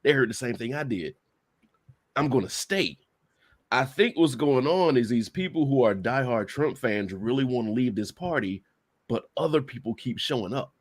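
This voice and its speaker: A man's voice: medium pitch, expressive, normal speed, no room sound. A man co-hosting a casual podcast, laying out a laid-back hypothetical scenario to his friends. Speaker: podcast co-host. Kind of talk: casual political banter.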